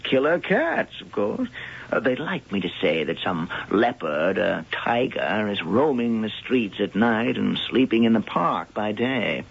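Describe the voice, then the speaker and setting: An actor playing a suave, secretive male professor who studies cats, smooth and evasive in 1970s radio acting. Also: lowish voice, sing-song, measured pace, exaggerated voice. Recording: lo-fi old radio broadcast with hiss.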